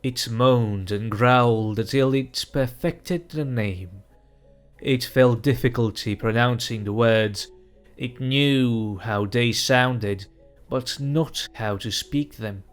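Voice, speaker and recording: A man reading a dark fantasy story aloud as narrator, slow and measured. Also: medium pitch, faint music behind.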